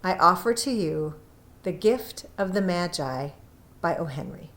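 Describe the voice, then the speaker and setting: A woman narrating a classic short story from a script, in a deliberate, expressive storytelling manner with pauses. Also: on the low side.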